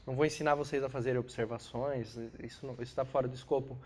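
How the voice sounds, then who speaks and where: A man's voice: mid-range voice, steady tone, quick pace, a little mellow. A Brazilian man giving an astrophysics class lecture, congested with a cold, explaining didactically with short tag questions.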